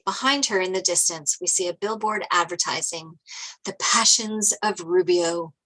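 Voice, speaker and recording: A woman's voice: fairly low pitch, normal speed, pushed and bright, poor audio. A woman reading stage directions as narrator at a script table read.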